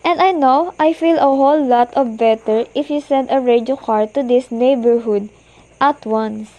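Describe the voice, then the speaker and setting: High-voiced, anxious invalid wife played by a girl. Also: moderate pace, mellow. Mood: urgent, demanding. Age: teenager. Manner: insistent, dramatic pleading. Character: anxious, demanding, bedridden invalid wife, easily flustered. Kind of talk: amateur student radio play acting.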